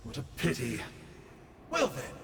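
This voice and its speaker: An actor playing a smug, theatrical villain scholar in a video game, with a teasing, mock-dramatic drawl. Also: male voice, mid-range voice, huge pitch swings, measured pace, creaky, fairly bright tone, roomy sound, big-room sound, a little hiss or hum, exaggerated voice. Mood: mock wistful.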